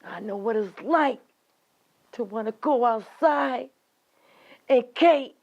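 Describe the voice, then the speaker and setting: A woman acting a long-suffering, resilient rural Southern woman in a heartfelt, halting dramatic monologue. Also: on the high side, darkish tone, muffled recording. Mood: weary, grave.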